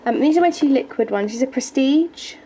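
A woman's voice: high-pitched, expressive, fairly quick, dark tone. A young woman with a groggy voice, casually showing her makeup collection in her bedroom, with plenty of ums and small laughs. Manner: chatty, hesitant, frequent ums and little laughs. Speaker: beauty vlogger. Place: bedroom at home. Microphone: handheld camera filming from close. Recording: noisy home video recording.

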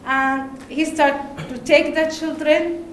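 A woman from Lebanon with an Arabic accent, giving a conference talk in halting English with hesitations and laughs. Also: high voice, fairly even intonation, a little hiss or hum. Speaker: conference speaker. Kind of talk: presentation talk. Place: large darkened conference hall. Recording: echoey hall, faint ambience.

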